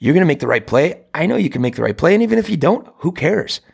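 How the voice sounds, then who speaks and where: A man who hosts a hockey coaching show, talking with a hoarse, raspy voice worn out from coaching. He gives casual advice and rambles, with many fillers and restarts. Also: on the high side, very animated, quick pace, darkish tone. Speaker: hockey coach and podcast host.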